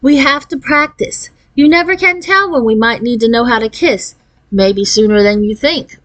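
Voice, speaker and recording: A woman's voice: fairly high pitch, normal speed, fairly bright tone, no room sound, pitched above the performer's own voice, close to an everyday voice. A woman voicing a pushy, self-assured fifth-grade girl in a children's storybook. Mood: insistent. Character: Stephanie, bossy, confident, boy-crazy fifth-grade girl.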